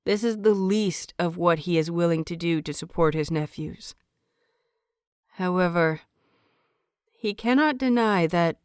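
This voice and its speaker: Smooth, lowish-voiced woman narrating a story. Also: no echo, clean studio sound. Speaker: narrator of fan fiction audiobooks.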